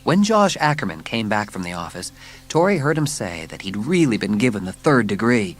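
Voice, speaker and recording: A woman's voice: rumbling low, big pitch swings, normal speed. A woman reading a children's story as a scripted, dramatic audiobook narration. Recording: music under the narration. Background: music.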